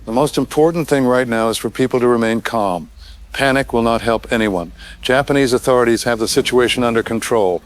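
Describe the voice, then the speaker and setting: A man's voice: medium pitch, brisk, lightly acted. A man playing a guarded senior defense official in an audio drama, giving formal, placating statements. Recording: faint background ambience.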